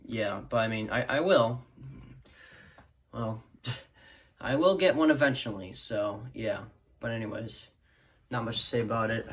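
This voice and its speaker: A man casually presenting a collection in a hesitant, rambling way that often trails off. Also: medium pitch. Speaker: video creator showing a radio collection. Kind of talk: casual collection showcase chat.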